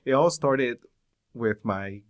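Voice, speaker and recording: A man in his forties from Brazil, speaking English with a Brazilian Portuguese accent, talking as a guest in an interview, thoughtful with many pauses and fillers. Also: mid-range voice, smooth. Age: 40s. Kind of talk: casual interview conversation.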